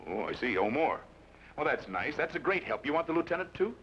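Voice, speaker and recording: A wry, easygoing ranger hero in an old radio western, quick with a dry joke and lively in his delivery. He talks fast and swings his pitch around. The recording is dull and lo-fi with a faint hiss. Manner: dry, joking drawl. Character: wry, easygoing Texas ranger hero, middle-aged man. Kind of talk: 1940s radio acting.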